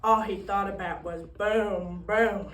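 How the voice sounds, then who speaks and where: A woman voicing a cheeky, romantic ninety-two-year-old tap dancer in a comic stage monologue, telling her story with theatrical, drawn-out punchlines. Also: mid-range voice, steady tone, a loud crowd, close to an everyday voice. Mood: cheeky, boastful. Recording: roomy, distant microphone, faint noise.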